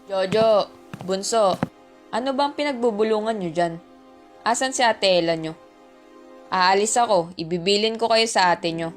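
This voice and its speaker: A woman's voice: medium pitch, normal speed, long pauses, natural voice. A woman acting a stern, old-fashioned Filipino mother who scolds her children in an amateur radio drama. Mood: firm. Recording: music bed behind dialogue.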